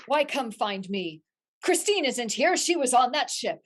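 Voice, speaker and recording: A woman acts out an earnest young hero of a musical melodrama, high in pitch. The delivery swings widely, defiant and full of dramatic declaration. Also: sing-song, unhurried. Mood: shocked.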